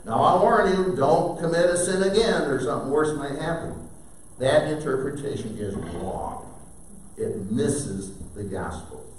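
An older man delivers a sermon in an animated way, swinging from steady explaining to sudden loud bursts. He sits at an ordinary middle pitch. His voice comes from some distance through a very echoey church sanctuary, with faint ambient noise behind him. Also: a little slow. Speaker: pastor. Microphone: far camera, preaching at a wooden pulpit.

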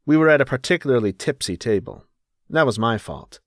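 A man's voice: mid-range voice, lively intonation, brisk, no room sound, studio quality, close to an everyday voice. A man narrating a literary audiobook as a reflective, observant young narrator. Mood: uneasy.

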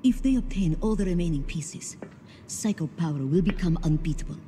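An actress playing a poised, calculating secret organiser in a video game, speaking in a composed, formal and persuasive way. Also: female voice, fairly low pitch, animated, brisk, mellow, close to an everyday voice. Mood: grave, persuasive. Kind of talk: video game cutscene voice acting. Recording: reverberant hall, faint background noise.

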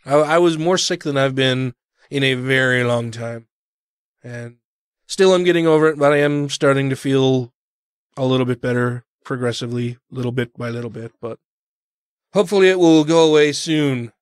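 A man's voice: medium pitch, moderate pace, smooth, no room sound. Podcast host, male, congested and on the mend, rambling and foul-mouthed; dry close mic. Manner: swears freely, rambling. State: congested, recovering from illness. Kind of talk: casual chat.

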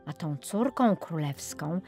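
A woman's voice: deep voice, lively intonation, takes it slowly, warm tone, slightly rough, soft background music. A woman narrating a children's fairy tale as an audiobook storyteller, reading from a script.

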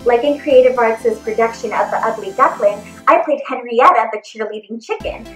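A woman in her thirties giving an encouraging, animated lesson for children as a theatre performer. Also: fairly high pitch, normal speed. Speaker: theatre performer teaching character voices. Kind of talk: instructional video for children. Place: small indoor room. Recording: echoey room, faint music.

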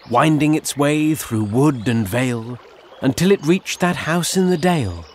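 Expressive man reading verse, slow. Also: on the high side, slight background noise. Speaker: voice actor. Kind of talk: performed poetry and literary reading.

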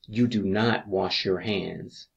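A man reading scripted exam review questions as an instructor, deliberately, pausing between each answer choice. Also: on the low side, steady tone, creaky, dull audio.

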